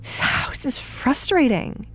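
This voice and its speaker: A woman's voice: slow, fairly bright tone, soft background music. A woman voicing a mistreated, defiant young noblewoman with an urgent manner in an audio drama. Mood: bitter. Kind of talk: audio drama character acting.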